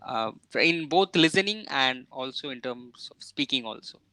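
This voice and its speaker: A man's voice: medium pitch, lively intonation, normal speed, bright, forceful tone, no room sound, poor audio. Hesitant South Indian man on a call. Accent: Indian English. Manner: hesitant, many uh fillers, polite. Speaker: software developer, English learner. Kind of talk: online lesson conversation. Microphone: video call.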